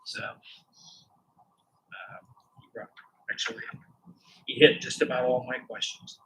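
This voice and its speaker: Male reviewer with advice; monotone, bright; faint background noise. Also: mid-range voice, unhurried, poor audio. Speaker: design reviewer asking questions. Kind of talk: technical feedback.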